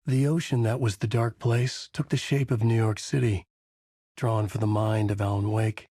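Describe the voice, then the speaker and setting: A haunted male horror writer narrates a video game's story in a measured, brooding way. His voice sits low and has a husky edge. It was recorded dry in a studio booth. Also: darkish tone, no echo. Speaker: video game character narrating. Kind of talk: game voice lines, dark narration. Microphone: studio mic.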